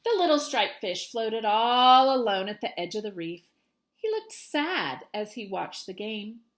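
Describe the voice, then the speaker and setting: A woman with a high voice reads a picture book aloud as a teacher would for students. Her intonation is very lively, rising and falling widely as she tells the story. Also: a little slow. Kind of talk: expressive children's storybook read-aloud.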